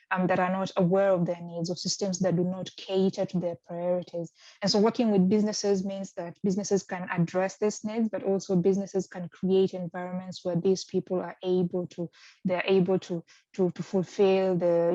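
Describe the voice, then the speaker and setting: A woman from Kenya in her thirties answers interview questions with care, often pausing and circling back to rephrase. Her voice sits low, with a flat, mellow tone. The recording sounds dry and muffled, like a lo-fi video call. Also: dry recording. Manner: hesitant, many ums and restarts. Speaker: research fellow, interviewee.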